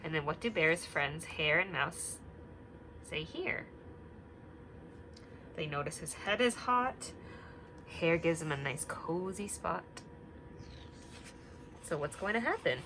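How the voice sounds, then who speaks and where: A woman teacher talking gently to young children, singing little hand-washing songs and reading a picture book aloud with many questions. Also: deep voice, expressive, takes it slowly, pauses often, noisy background. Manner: gentle, sing-song, asks many questions.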